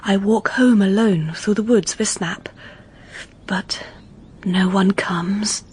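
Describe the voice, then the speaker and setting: An actress playing a quiet, wistful young English governess, narrating intimately in a breathy half-whisper in a period audio drama. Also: female voice, medium pitch, steady tone, slow, a little hiss or hum, close to an everyday voice. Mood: wistful, lonely.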